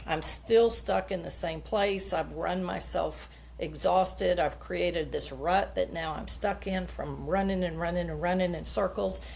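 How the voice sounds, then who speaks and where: A woman's voice: on the low side, a little slow, vocal fry, few pauses, darkish tone, a little hiss or hum. A middle-aged woman coach explaining her method earnestly in an interview, using homely comparisons. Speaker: subconscious coach, guest. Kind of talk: podcast interview answers.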